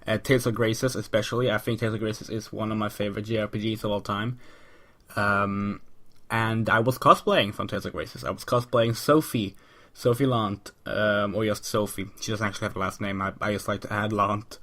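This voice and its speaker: A man's voice: on the low side, steady tone, fairly quick, fairly bright tone. Sick man rambling on his podcast. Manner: rambling, chatty, many ums and restarts. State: sick, voice a bit off. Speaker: podcast host. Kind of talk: casual solo podcast monologue.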